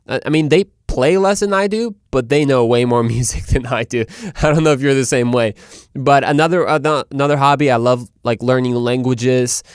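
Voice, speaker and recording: Man, clear smooth voice, dry close sound, informal show host, conversational. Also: mid-range voice, moderate pace, no echo. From Guadalajara, Mexico. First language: Spanish. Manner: informal, conversational, self-interrupting. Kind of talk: casual monologue to listeners.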